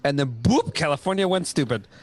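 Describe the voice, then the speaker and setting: A man's voice: a little high, lively intonation, fairly quick. A man hosting a casual livestream chat, talking in a joking, rambling way. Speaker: show host and casting director.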